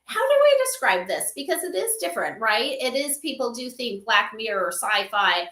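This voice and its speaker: Bright, high-voiced woman in a roomy space. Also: normal speed. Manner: animated explainer, acts out example dialogue. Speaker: interview guest, company advisor on AI memorial companions. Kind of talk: casual livestream interview, explaining. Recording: roomy, echoing sound.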